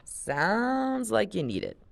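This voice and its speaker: A woman's voice: medium pitch, wildly swooping pitch, takes it slowly, gravelly, voice pushed up, exaggerated voice. A woman voicing a wisecracking teenage boy from a story, with a dry, teasing delivery.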